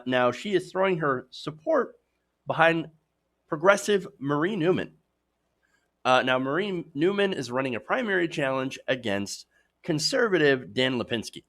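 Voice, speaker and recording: A man at a middle pitch gives emphatic, opinionated commentary on a political news show. His intonation rises and falls in lively sweeps as he speaks straight to viewers, and his voice sounds slightly hoarse.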